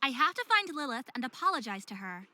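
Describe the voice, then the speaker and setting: A woman's voice: high-pitched, big pitch swings, fast, bright, forceful tone, no room sound, full character voice. A woman playing a troubled, self-hating young half-faerie in a visual novel, with earnest, emotional delivery. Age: young adult.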